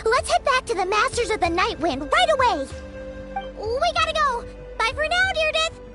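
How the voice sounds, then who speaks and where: A woman voicing a tiny, chirpy, childlike fairy companion in a video game, squeaky and full of exclamations. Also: very animated, moderate pace. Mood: excited, cheerful. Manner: exclamatory, chirpy, refers to self in third person. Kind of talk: English video game voice acting. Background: game music.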